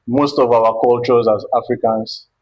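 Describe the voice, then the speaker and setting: Male guest, Nigerian accent, average pitch, earnest conversational explainer. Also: steady tone, normal speed, dull audio. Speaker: guest, multidisciplinary artist. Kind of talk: conversational interview.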